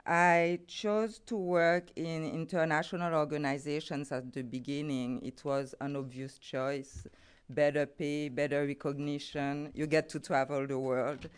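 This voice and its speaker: A woman's voice: low voice, steady tone, a little slow, clean tone. A middle-aged woman from Haiti with a Haitian accent, speaking English as a second language and giving a formal, carefully phrased talk about her development work. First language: Haitian Creole or French. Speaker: guest speaker, NGO program head. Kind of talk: prepared conference talk.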